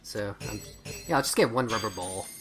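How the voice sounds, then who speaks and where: A man casually commentating on a video game he recorded, relaxed and rambling. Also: fairly low pitch, brisk, music in the background, rough recording. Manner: relaxed, rambling, trails off and restarts. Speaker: let's play host.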